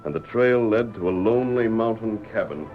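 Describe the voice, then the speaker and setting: An actor playing a calm, commanding western hero who tells a tale in measured, deliberate radio-drama style. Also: male voice, fairly low pitch, a little hiss or hum, natural voice. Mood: grave, steady. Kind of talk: old-time radio drama acting. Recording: dull vintage radio recording.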